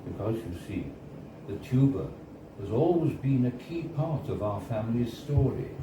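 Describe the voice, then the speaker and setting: A man gives a live literary reading with an ordinary mid-pitched voice. He tells the story in a measured, wistful way with deliberate pauses. The recording is distant and roomy, with clear noise behind him. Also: animated. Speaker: reader of a short story. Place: indoors at a bar, live reading. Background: clear background noise and room ambience.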